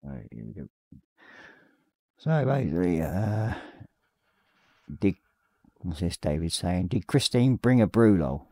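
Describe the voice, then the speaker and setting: A man in his forties with a slightly croaky voice, casually chatting on a live stream and reading out viewer messages as he paints. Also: deep voice, a little slow, long pauses, dry recording. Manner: reads chat messages aloud, relaxed and rambling. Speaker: livestream host. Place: small hobby room at home.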